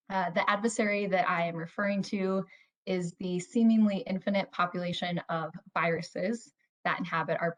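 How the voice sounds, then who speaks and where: Young woman, grad student, measured dramatic science story, lowish voice. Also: low-quality recording. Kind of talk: prepared storytelling talk.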